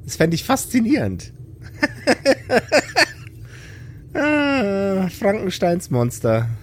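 A man's voice: high voice, huge pitch swings, drawn-out words, slight background noise, voice pushed up, heavily acted. A man voicing a flippant, curious female doctor in a tabletop game, talking in a casual, blunt, slightly hesitant way. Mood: amused, fascinated.

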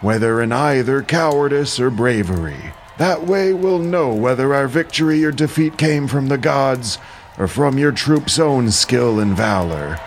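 A man's voice: medium pitch, lively intonation, a little slow. An actor playing a stern old Greek horseman and war counselor in an audio drama, admonishing the men in commanding, declamatory speech. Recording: clear ambient noise behind voice.

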